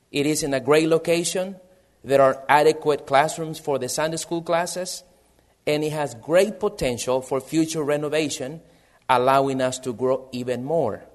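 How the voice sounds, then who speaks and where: A man's voice: fairly high pitch, a little mellow. Salvadoran-accented man preaching. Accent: Salvadoran Spanish. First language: Spanish. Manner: earnest, measured, reads from prepared notes. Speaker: missionary pastor. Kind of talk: missionary church presentation and sermon.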